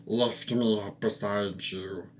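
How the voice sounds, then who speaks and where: A demanding enchanted frog, as a man reads him aloud, sulky and insistent. His voice sits a little low and he stretches out every word as he makes his orders. A faint hum sits behind the storybook reading. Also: steady tone, takes it slowly.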